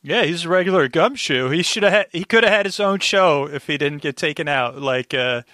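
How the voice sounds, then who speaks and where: A man's voice: fairly high pitch, lively intonation, brisk, sharp tone, no echo, clean studio sound. A man chatting casually as a podcast guest, with a rambling, wry way of commenting.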